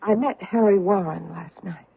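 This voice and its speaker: A troubled wife in her forties with a breathy, husky voice, answering in a hesitant and guarded way. It sounds like a tinny old radio play. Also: medium pitch, moderate pace, heavily acted. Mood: tense. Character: troubled middle-aged wife hiding a secret. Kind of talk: 1970s radio drama acting.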